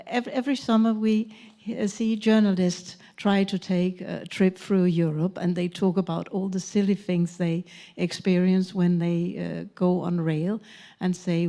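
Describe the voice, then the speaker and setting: A woman from Denmark, speaking as a panelist at a conference in a measured, thoughtful way with pauses and fillers. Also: lowish voice, dark tone. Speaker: conference panelist, former parliament member.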